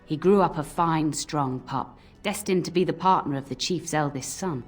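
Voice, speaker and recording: An actress playing an old nanny in a game, scolding but fond, given to telling cautionary tales. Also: female voice, sits low, moderate pace, close to an everyday voice. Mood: solemn storytelling. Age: older. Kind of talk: scripted game voice acting, storytelling. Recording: music under the voice.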